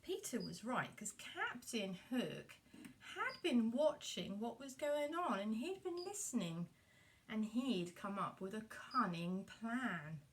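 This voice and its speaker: A woman's voice: fairly high pitch, very animated, takes it slowly, recorded from a distance, a little hiss or hum. A woman telling a story to young children in a puppet show, addressing them directly with exaggerated expression.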